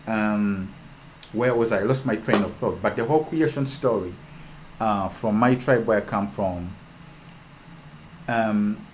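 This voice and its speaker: Low-voiced man from Uganda, impassioned casual host, lots of repetition and ums, faint ambience. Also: a little slow, pauses often, background noise. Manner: fervent, rhetorical, repeats phrases, trails off with ums. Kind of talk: casual talk to an online audience.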